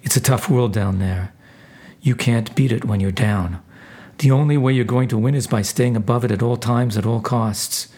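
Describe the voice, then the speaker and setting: A caring male angel judge , voiced by a man, speaks softly and reassuringly. His husky voice stays mid-pitched and calm. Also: moderate pace, close to an everyday voice. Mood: earnest. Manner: gentle, soft, reassuring.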